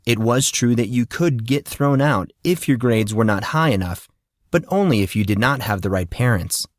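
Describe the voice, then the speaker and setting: A man reading an audiobook in a steady, measured storytelling manner. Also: mid-range voice, dry recording, studio quality. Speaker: audiobook narrator. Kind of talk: scripted audiobook narration.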